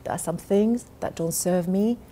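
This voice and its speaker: A woman's voice: fairly low pitch, moderate pace, mellow, a little hiss or hum. A woman being interviewed, speaking earnestly and often restarting her sentences with fillers. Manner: earnest, many fillers and repeated starts. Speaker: interview guest. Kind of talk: casual interview conversation. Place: hotel in London.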